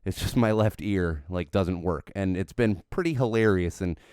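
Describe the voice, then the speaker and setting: A man's voice: sits low, moderate pace, a little mellow, clear, resonant tone, no echo, studio quality. A married man hosting his own solo podcast, talking casually and rambling a bit, sounding slightly nasal from an ear infection. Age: adult, married father. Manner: rambling, self-correcting, candid. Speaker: podcast host. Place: home studio. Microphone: studio microphone with headphones.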